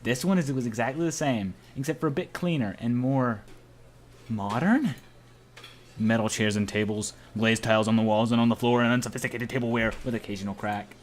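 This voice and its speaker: A man's voice: medium pitch, fairly quick, a little hiss or hum. A man reading visual novel narration aloud as an amateur during a playthrough.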